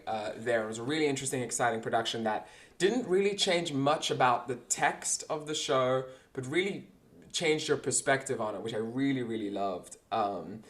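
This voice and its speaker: A young man with a South African accent, a guest performer chatting casually between songs, relaxed and full of fillers. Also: mid-range voice. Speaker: guest performer, singer-guitarist.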